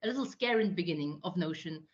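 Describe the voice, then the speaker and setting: A woman's voice: on the low side, lively intonation, fast, lo-fi sound. A woman born in Belgium, a project manager and consultant, talking at length in a casual interview with many restarts and fillers. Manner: chatty, many restarts and fillers, talks in long runs.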